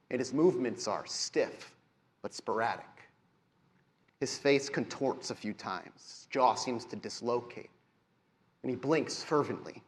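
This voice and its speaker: A man game-mastering a live tabletop game, narrating in deliberate, dramatic phrases. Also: mid-range voice. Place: live convention stage show.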